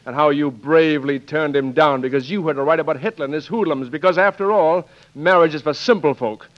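An actor playing a brash, romantic American flyer, slangy and sardonic, in 1940s radio drama style. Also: male voice, on the high side, brisk, lightly acted. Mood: bitter, sarcastic. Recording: muffled, noisy 1940s radio recording.

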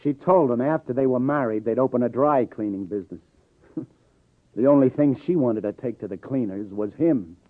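A man's voice: mid-range voice, moderate pace, slight background noise, natural voice. Man acting hard-boiled New detective on tinny old radio. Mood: weary, wry. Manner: sardonic, clipped tough-guy talk. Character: hard-boiled, wry New York male police detective. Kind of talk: 1950s radio crime drama acting. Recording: tinny old radio broadcast, faint music.

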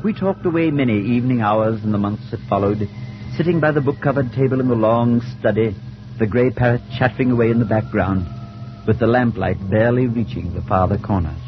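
An actor playing an earnest, compassionate priest, speaking in a measured way in a 1940s radio drama. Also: male voice, medium pitch, over music, natural voice. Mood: grave, reflective. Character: earnest, compassionate male priest narrating his tale. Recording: noisy, dull 1940s radio recording.

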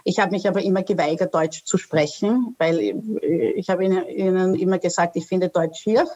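A woman's voice: fairly low pitch, normal speed, darkish tone, muffled recording. A woman from Australia, a guest in a casual interview, talking hesitantly with many fillers and restarts.

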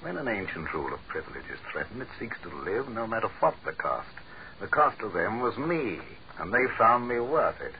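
Scornful fallen dictator, very deep voice, cold and measured, muffled old radio sound. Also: male voice, very animated, slight background noise. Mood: commanding. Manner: sneering, commanding, measured. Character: doomed male dictator, contemptuous and cold. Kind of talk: 1940s radio drama acting. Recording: muffled 1940s radio broadcast.

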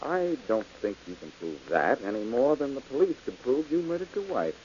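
A man's voice: mid-range voice, big pitch swings, moderate pace, darkish tone, lightly acted. A man playing a cool-headed, mocking detective in an old radio drama. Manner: cool, mocking and self-assured. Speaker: detective hero. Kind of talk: 1940s radio acting. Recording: dull old radio recording with hiss.

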